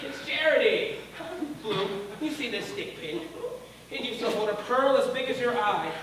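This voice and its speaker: Older scheming producer: theatrical, impatient, high voice, distant echoey stage recording. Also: male voice, background noise. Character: washed-up, scheming, wheedling older male Broadway producer. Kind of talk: broad comic stage acting.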